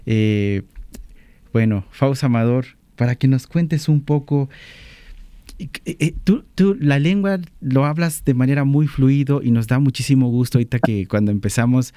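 A man's voice: medium pitch, moderate pace, warm tone, smooth, dry recording. Reflective male interviewer. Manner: reflective, encouraging, with frequent backchannel. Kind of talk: interview conversation.

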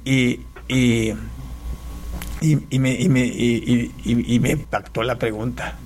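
A middle-aged man from Panama giving a conversational personal testimony as an interview guest, often repeating words and clearing his throat as he goes. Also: mid-range voice, steady tone, slow, a little mellow. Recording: clearly noisy background.

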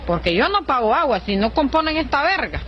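An angry woman rants in a played video clip. Her voice is high and jumps around a lot. Faint street ambience sits behind her. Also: big pitch swings, fairly quick, dull audio, slight background noise. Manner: angry complaining rant. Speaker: person in a viral video.

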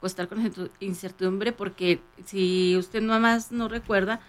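A woman's voice: mid-range voice, fairly even intonation, normal speed. A woman with a northern Mexican accent, chatting casually and agreeably as a radio co-host.

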